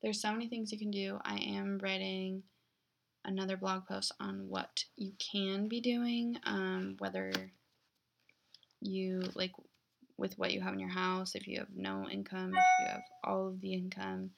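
A young woman talks casually on her own podcast in an even, monotone delivery that sounds less enthusiastic than she means. Her voice has a gravelly vocal fry, and her speech is filled with "like". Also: medium pitch, a little slow, noisy background. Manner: heavy use of "like" as a filler. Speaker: podcast host. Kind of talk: casual solo podcast monologue.

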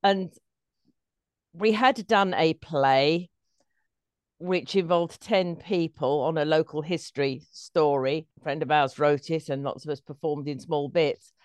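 A woman's voice: sits low, a little slow, a little mellow, dry recording. A middle-aged woman, a stage performer telling anecdotes as an interview guest.

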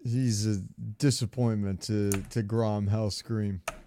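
A man's voice: fairly low pitch, steady tone, measured pace, dark tone, a little hiss or hum. A man co-hosting a podcast, chatting casually in an informal, opinionated way. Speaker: podcast co-host. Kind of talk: casual chat.

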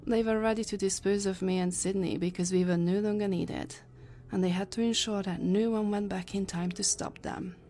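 A woman's voice: mid-range voice, fairly even intonation, moderate pace, mellow, natural voice. A woman playing an earnest, grave time-travel scientist in an audio drama. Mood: grave explaining.

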